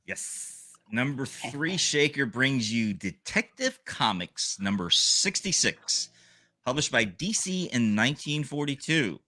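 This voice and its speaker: A man's voice: mid-range voice, very animated, slow pace, sharp tone, dry recording. A man co-hosting a comic book podcast, reading a scripted sales rundown and joking with his co-hosts. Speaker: podcast co-host.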